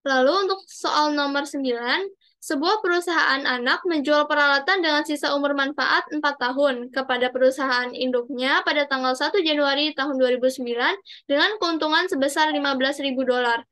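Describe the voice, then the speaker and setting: A young woman gives a student presentation in a high-pitched, clearly bright voice. She reads worked answers in a formal way, now and then stumbling on a word and correcting herself. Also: fairly quick. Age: young adult. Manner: formal, read-aloud delivery with small stumbles. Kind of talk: reading out worked exercise answers in a class presentation.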